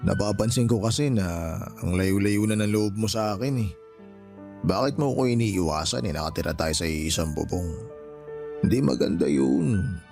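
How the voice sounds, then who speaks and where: Radio drama: menacing Filipino stepdad, leering and blustering, very animated delivery, faint music underneath. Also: male voice, on the low side, moderate pace, creaky. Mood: leering, coaxing. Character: lecherous, menacing, lying live-in stepfather.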